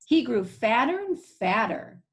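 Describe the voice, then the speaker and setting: A woman teacher reading a picture book aloud, pausing to explain things simply to young listeners. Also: a little high, very animated.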